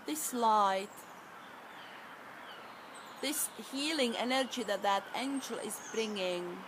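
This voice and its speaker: A woman speaks in a soft, soothing and encouraging way as she guides a tapping session. Her voice sits a little high. A faint hiss runs under the recording. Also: expressive, slow pace. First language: Czech. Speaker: EFT tapping practitioner.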